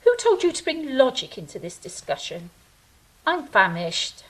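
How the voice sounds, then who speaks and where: Woman as flippant angel, high voice, lively swings, husky edge, wry, petulant, audio drama. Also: moderate pace, low-quality recording. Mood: petulant, bored. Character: flippant, restless, ever-hungry young angel named Monogamy. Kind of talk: comic audio drama acting.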